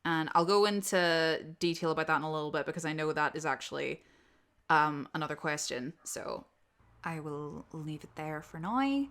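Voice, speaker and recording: Clear, bright-voiced young Northern Irish woman. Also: sits low, animated, moderate pace. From Northern Ireland. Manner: candid, blunt, informal with swearing. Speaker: audio roleplay creator answering subscriber questions. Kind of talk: casual unscripted Q&A chat.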